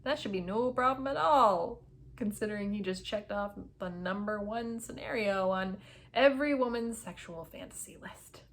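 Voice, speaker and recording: A woman narrating a novel in an expressive, dramatic storybook read-aloud style with emphatic phrasing. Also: medium pitch, normal speed.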